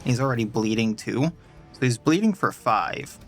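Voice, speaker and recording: A man's voice: medium pitch, expressive, moderate pace, over music. A man with a stuffed-up nose and a sore throat, chatting casually as he plays and explains his party's stats at length. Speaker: let's play host. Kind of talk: casual gameplay commentary.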